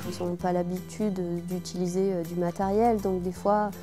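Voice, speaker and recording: A woman educator explaining in an interview, pausing to find her words. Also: on the low side, level tone. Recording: faint music under the voice.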